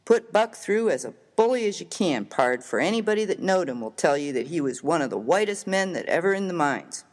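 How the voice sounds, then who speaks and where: Male actor as Old West miner, American accent, rough, hearty, good-natured, folksy slang, very animated intonation, humorous story reading. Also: fairly high pitch, brisk. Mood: earnest, boastful.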